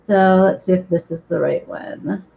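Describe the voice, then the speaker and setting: A hoarse woman chatting casually and a bit scattered as she hosts a livestream from her home painting room. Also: lowish voice, fairly even intonation, normal speed, warm tone, slight background noise. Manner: rambling, unprepared, chatty. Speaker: livestream host. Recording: dull lo-fi sound.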